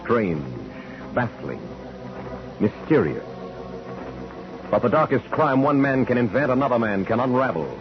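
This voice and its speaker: Solemn 1940s radio announcer over loud music. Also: male voice, mid-range voice, sing-song, measured pace, long pauses. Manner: solemn, portentous. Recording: dull old radio recording under music.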